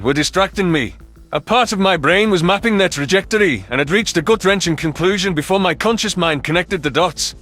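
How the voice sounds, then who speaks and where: A man's voice: sits high, fairly bright tone. A man reading scripted first-person science fiction narration as an audiobook narrator.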